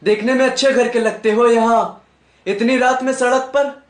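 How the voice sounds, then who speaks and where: A man's voice: very high-pitched, fairly even intonation, moderate pace. A young man playing an earnest, defensive village youth from Bihar or UP. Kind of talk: acted Hindi drama. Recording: music playing behind the voice. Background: music.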